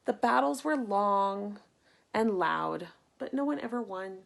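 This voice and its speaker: A woman reading a picture book aloud for children in an expressive, deliberate way, acting out the story. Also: medium pitch, gravelly. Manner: animated, deliberate, acts out sound effects. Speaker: storybook reader.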